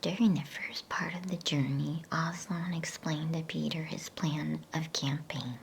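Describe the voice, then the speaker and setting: A woman speaking in a breathy, soothing, unhurried whisper, as in an ASMR bedtime reading. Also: low-pitched, steady tone, slight background noise. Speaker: ASMR bedtime story reader. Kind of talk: whispered ASMR reading aloud of a children's novel.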